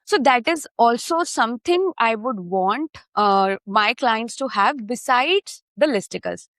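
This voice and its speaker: A woman's voice: a little high, animated, unhurried, a bit bright, no room sound, clean studio sound. A woman guest on a podcast who explains her work through anecdotes and often checks in with her listener. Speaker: podcast guest, content marketer. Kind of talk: podcast interview.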